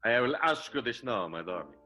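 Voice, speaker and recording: A man performs a pompous husband from the 1920s film world in an improvised radio soap. The voice is bright and forceful, swinging widely in pitch through formal, drawn-out declarations of hurt dignity. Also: lively intonation, soft background music. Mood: wounded, grandiose. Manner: grandiose, formal, drawn-out deliberate phrasing.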